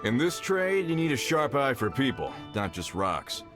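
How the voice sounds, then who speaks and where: A man's voice: medium pitch, big pitch swings, normal speed, over music, natural voice. A man voicing a shrewd, genial client in a video game, talking in a self-assured, salesman-like way. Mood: genial, confident. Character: shrewd, jovial middle-aged mining client.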